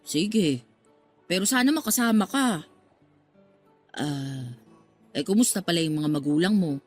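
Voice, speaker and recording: Actress playing a chatty, expressive middle-aged mother. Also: mid-range voice, lightly acted. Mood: coaxing, motherly. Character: talkative middle-aged working-class mother reunited with given-up daughter. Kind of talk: radio drama acting. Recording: music under the voice.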